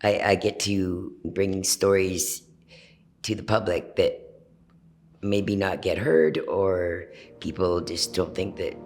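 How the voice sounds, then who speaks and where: A man giving casual, reflective interview answers as a peer journalist, earnest and halting in his phrasing. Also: low voice.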